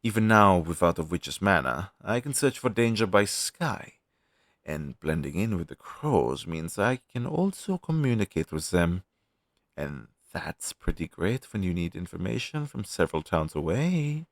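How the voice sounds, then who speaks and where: Male actor for a demon crow familiar, fantasy roleplay audio: helpful explainer, animated intonation, dry close sound. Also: low voice, a little slow, no echo, heavily acted. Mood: explaining, reassuring. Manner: conversational, explanatory, reassuring, with thoughtful hums. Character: friendly male demon crow familiar advising a young witch.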